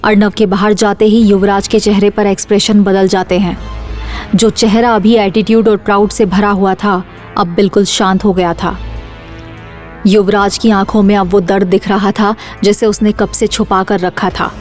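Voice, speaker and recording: A woman's voice: medium pitch, little pitch movement, normal speed, darkish tone, faint music behind. A woman reading scripted suspense narration for an audio drama series.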